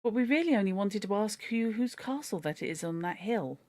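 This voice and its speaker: A woman's voice: mid-range voice, animated, brisk, a little mellow, pitched above the performer's own voice, natural voice. A woman voicing a polite, kind English girl who speaks earnestly.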